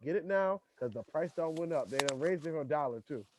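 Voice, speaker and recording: A man's voice: on the high side, animated, fast, a little hiss or hum. A man hosting a podcast in loose, profane casual chat, talking in restarts. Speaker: podcast host.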